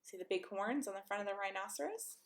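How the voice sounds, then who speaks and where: A woman reading a picture book aloud to young children, often asking them questions in an expressive way. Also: mid-range voice, quick pace. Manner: expressive, encouraging questions to listeners. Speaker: pastor reading a story to children. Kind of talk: read-aloud storytime, chatting to young children.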